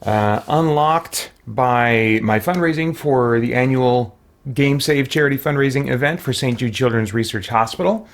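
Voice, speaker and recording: A man streams a video game in an ordinary mid-pitched voice. He thinks aloud casually, rambling and hesitating as he works things out. He addresses his chat in the same conversational way. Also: moderate pace. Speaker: livestreamer. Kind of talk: casual gameplay commentary with live chat.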